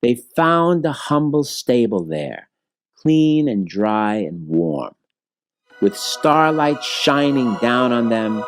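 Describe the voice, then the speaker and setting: Guy reading a rhyming kids storybook, slow and really animated, dry close sound. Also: medium pitch, no room sound, faint music behind. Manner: expressive, measured storytelling. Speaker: storybook reader. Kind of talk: scripted rhyming children's story narration.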